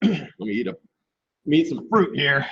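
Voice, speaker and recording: A man hosting a live stock trading stream in casual chat, hoarse and losing his voice, clearing his throat often. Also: a little high, lively intonation, moderate pace, a bit bright, slight background noise. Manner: keeps clearing his throat, chatty and informal. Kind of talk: casual live market commentary. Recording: dull lo-fi stream audio.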